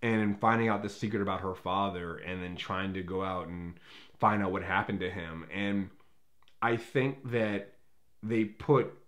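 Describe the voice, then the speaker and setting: Low-voiced man giving a rambling film review. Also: measured pace, a bit bright. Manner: rambling, self-correcting, repeats words as he searches for phrasing; blunt, casually profane. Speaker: movie reviewer. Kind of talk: casual opinionated review talk.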